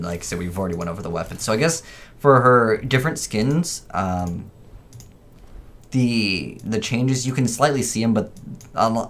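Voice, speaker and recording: A man gives casual gameplay commentary in a fairly low voice. His delivery is informal and a bit rambling, as he thinks aloud for his viewers. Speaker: gaming video host.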